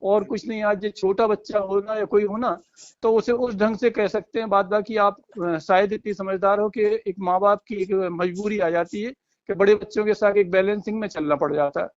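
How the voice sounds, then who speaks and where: A man's voice: sits high, steady tone, normal speed, dry recording. A middle-aged or older North Indian man speaking apologetically and hesitantly on the phone, often repeating his phrases. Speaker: father. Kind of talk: emotional phone conversation. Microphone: phone call. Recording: dull lo-fi phone call recording.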